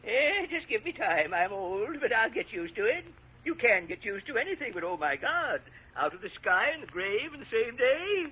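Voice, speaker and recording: An actor playing an old peasant gravedigger in a radio drama, wheedling and excitable, with a wavering, stammering delivery. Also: male voice, normal speed, slightly rough, full character voice. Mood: overwhelmed. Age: older. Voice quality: high-pitched, wavering old man's voice. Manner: hesitant, stammering, exclamatory. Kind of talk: golden age radio drama acting. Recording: muffled, noisy old radio broadcast.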